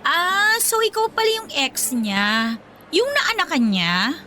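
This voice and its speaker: Bright, swooping, haughty Filipina girlfriend. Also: very high-pitched, sing-song, takes it slowly, slight background noise, heavily acted. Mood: catty, possessive. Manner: mock-polite, catty. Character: haughty, possessive, snooty young girlfriend. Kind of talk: Filipino radio drama acting.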